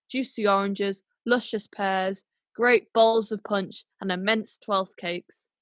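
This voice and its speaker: A woman's voice: mid-range voice, dry recording. A woman narrating a classic tale as a scripted radio play, in storybook style. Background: music.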